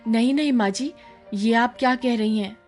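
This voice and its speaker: A woman's voice: on the high side, moderate pace, darkish tone, soft background music, lightly acted. Woman playing a meek young daughter-in-law. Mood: pleading. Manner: submissive, pleading, polite. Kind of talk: Hindi animated horror story acting.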